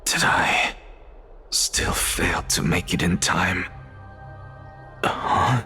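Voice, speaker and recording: An actor playing a defiant, curious scholar who muses sardonically to himself in a dramatic video game style. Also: male voice, sits low, drawn-out words, bright, forceful tone, heavily acted. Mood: breathless wonder. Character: defiant, curious scholar man journeying through land of the dead. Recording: game dialogue over prominent music.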